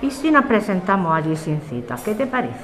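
A woman's voice: mid-range voice, big pitch swings, brisk, a bit bright. A woman with an accent from Spain playing a chatty, motherly café woman in a naturalistic audio drama. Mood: suspicious, chatty. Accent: Spanish, from Spain. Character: suspicious, easily alarmed neighbourhood café woman, chatty, motherly. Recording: clearly noisy background ambience.

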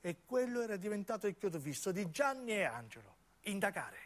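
A man tells a story as a theatrical radio monologue in a southern Italian accent. His voice sits high for a man. He speaks emphatically, leaning on repeated words like an incantation. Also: very animated, brisk, fairly bright tone. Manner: emphatic, incantatory repetition, acting out the characters as he tells. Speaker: narrating actor.

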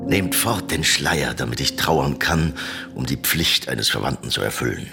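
An actor playing a haughty, doomed middle-aged usurper king in a radio play of Greek tragedy, in gravelly, declamatory verse. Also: a bass voice, lively intonation, normal speed, over loud music, exaggerated voice. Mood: commanding, solemn. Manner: theatrical, declamatory verse delivery. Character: doomed usurper king, haughty, horrified, stalling before death.

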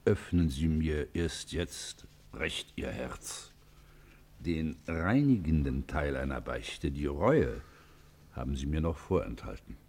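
A bass-deep solemn magistrate hearing a confession. He is earnest and exhorting, pressing toward repentance. His phrasing is stately and rhetorical, in classic radio play style. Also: animated, slow, a little mellow, husky, exaggerated voice. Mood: earnest exhortation.

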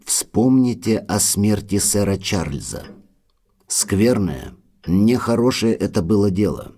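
An actor playing a deferential, uneasy manor butler in an audio drama, grave and full of foreboding. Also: male voice, on the low side, very animated, slow pace, mellow, slightly rough, heavily acted. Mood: anxious, foreboding.

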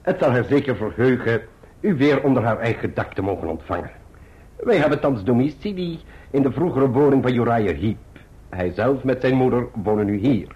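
An actor playing a pompous, genteel middle-aged clerk in a radio drama, florid and wordy with deliberate pauses. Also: male voice, mid-range voice, animated, slightly rough. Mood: grandly cheerful. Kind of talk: theatrical radio drama acting. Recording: muffled, dull old radio drama recording.

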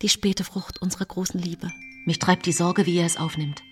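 An actress playing a brooding, calculating woman in her thirties, narrating a radio drama as an intimate, deliberate, theatrical inner monologue. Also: lowish voice, steady tone, slightly rough, faint music behind. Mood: elated. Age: 30s.

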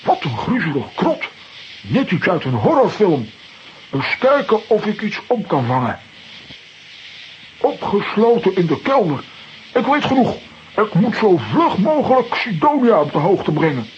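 An excitable, bumbling male hero in a children's audio play. His delivery is theatrical, with very wide pitch swings, on a muffled recording with some noise. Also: unhurried. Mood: tense, determined. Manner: theatrical, exclamatory. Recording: dull, noisy old audio play recording.

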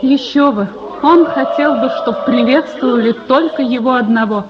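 A woman's voice: sits high, moderate pace, a loud crowd, heavy background noise, lightly acted. An actress playing a soothing, knowing mother of a grown daughter in a radio drama, theatrical in delivery. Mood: consoling, wry. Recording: dull radio recording under loud music.

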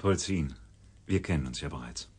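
A man's voice: rumbling low, moderate pace, loud music behind the voice. A man playing a proud, defensive geneticist in a radio drama, formal and assertive in his speech. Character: defensive, proud male genetic scientist, stiff and clipped.

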